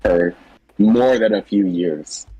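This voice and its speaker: A man chatting casually about a character in an informal, halting way. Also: deep voice, warm tone, slightly rough, lo-fi sound. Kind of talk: casual chat, character discussion.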